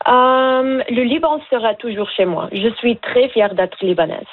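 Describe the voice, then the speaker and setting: A woman's voice: on the high side, unhurried. A young woman from Lebanon, a veterinary student and radio guest, speaks earnestly and hesitantly, with many fillers. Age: young adult. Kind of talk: phone-in radio interview. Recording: tinny phone line.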